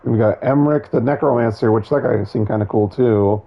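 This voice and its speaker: A hoarse man losing his voice, giving casual, opinionated, digressive commentary on a video game. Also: mid-range voice, a little fast, dull audio. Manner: opinionated, digressive, many restarts.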